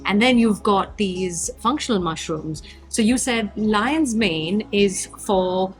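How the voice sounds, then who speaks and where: A woman's voice: mid-range voice, slow, faint music behind. A woman hosting an interview, effusive and enthusiastic about her guest. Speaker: podcast host.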